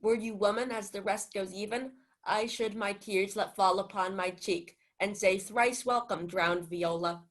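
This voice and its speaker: A woman's voice: medium pitch, steady tone, unhurried, hardly any pauses, natural voice. Casting: young woman as a gallant youth, tender and earnest, mid-range voice, radio-play Shakespeare. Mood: amazed. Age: young adult. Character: gallant, tender young man, long-lost twin brother.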